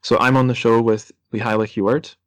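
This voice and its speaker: A man's voice: mid-range voice, flat delivery, moderate pace. A man hosting a podcast, chatting through announcements in an informal, conversational way. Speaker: podcast host. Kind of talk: casual podcast announcements and chat.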